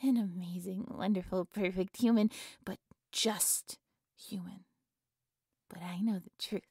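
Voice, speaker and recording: A woman playing a giddy, devoted cultist who worships her captive, soft and breathy, often slipping into whispers, in a gushing, reverent audio roleplay monologue. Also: medium pitch, steady tone, a little slow, dry recording, studio quality. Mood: devoted, hushed.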